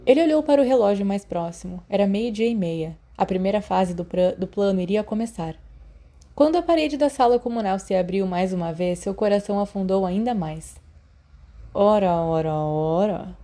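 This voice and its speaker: A woman's voice: medium pitch, brisk, mellow. A woman with a Brazilian accent reading a story aloud as scripted narration. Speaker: audiobook narrator.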